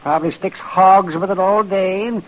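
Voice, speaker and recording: An actor playing a crotchety, fretful frontier doctor in a radio western, grumbling with theatrical, querulous flair. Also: male voice, sits high, moderate pace, fairly bright tone, rough recording. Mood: grumbling, needling. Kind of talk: old-time radio western acting.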